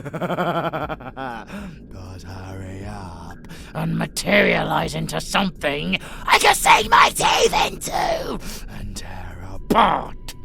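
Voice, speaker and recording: Bloodthirsty vampire abomination for horror audio: forceful, snarling, foul-mouthed, with big swings in pitch. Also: gravelly, heavily acted. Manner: snarling, taunting, profane, swinging between whispers and shouts. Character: ravenous, savage vampire abomination hungry for prey. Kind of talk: horror audio roleplay acting.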